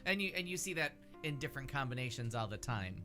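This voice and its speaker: A man's voice: medium pitch, sing-song, fast, music in the background. An American man hosting a casual talk show, asking questions and telling stories in a blunt, joking way. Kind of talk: casual comedic chat and questioning.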